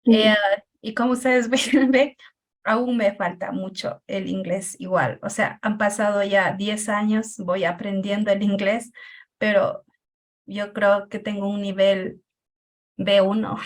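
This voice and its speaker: Unhurried Quichua-native woman, hesitant. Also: mid-range voice, steady tone. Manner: hesitant, many pauses and filler sounds. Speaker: teacher. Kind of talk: personal account in a group discussion.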